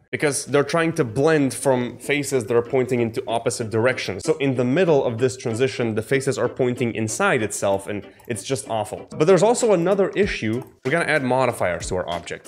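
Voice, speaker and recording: A man in his twenties presenting a casual, informal software tutorial, explaining directly to the viewer into a close microphone. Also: medium pitch. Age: 20s. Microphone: close studio microphone on boom arm. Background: background music.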